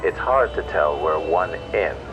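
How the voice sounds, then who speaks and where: A man playing a brooding, philosophical late-night radio host, solemn and measured, pausing often. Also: sits low, animated, dull audio. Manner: slow, solemn, measured with long pauses. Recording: loud music under the voice.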